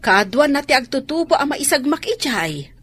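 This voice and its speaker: A woman's voice: high voice, sing-song, brisk. A woman with an Ilocano accent playing a nosy, alarmist village neighbour in a radio drama, fretful and cautioning.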